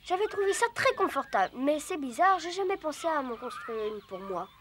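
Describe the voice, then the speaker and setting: A woman voicing a polite, earnest, independent little jungle boy in an animated cartoon. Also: high-pitched, fairly quick, slight background noise. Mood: matter-of-fact. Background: faint music.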